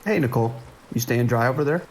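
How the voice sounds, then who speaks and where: Kindly small-station deputy played by a man: reassuring, unhurried, mid-pitched with some fry, light noise behind. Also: lightly acted. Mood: calm, businesslike. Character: calm, kindly male sheriff's deputy dispatcher on night shift. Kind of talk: naturalistic audio drama acting.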